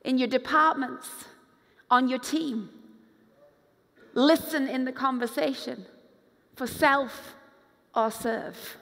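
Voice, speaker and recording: Woman, pastor, high voice, echoing hall, lively sermon. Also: slow, creaky. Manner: animated preacher, acts out quoted voices, moves around the stage. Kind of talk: conference sermon. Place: large dark stage with hall reverb. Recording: long hall reverb.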